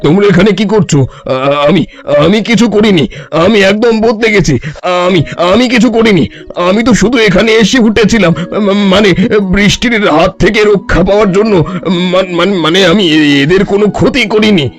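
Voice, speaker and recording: A man's voice: high voice, normal speed. A man voicing a sly, sweet-talking and cowardly fake holy man in a cartoon story. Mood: panicked, pleading.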